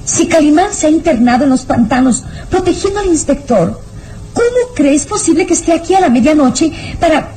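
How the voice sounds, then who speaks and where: A woman's voice: high-pitched, lively intonation, moderate pace, dark tone, low-quality recording. A woman playing an anxious, insistent young lady in an old radio serial, melodramatic and urgent. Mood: fearful, uneasy. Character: anxious, insistent young noblewoman demanding answers.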